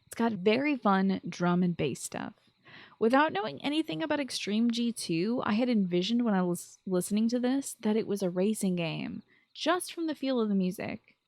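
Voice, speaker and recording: A woman's voice: mid-range voice, animated, moderate pace, a little mellow, no echo. A woman with a mid-range voice hosts a show about video games. She is informal and enthusiastic, moving between prepared commentary and chatty asides. The sound is close and very dry, with hardly any room in it. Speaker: podcast host. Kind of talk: chatty video game podcast commentary, partly scripted.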